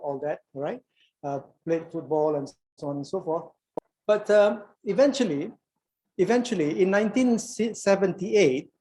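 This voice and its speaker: A man's voice: on the high side, a little mellow, low-quality recording. A Malaysian man in his sixties, a doctor giving an anecdotal conference talk with frequent repeats and restarts. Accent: Malaysian English. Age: 60s. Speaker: doctor and alumni conference speaker.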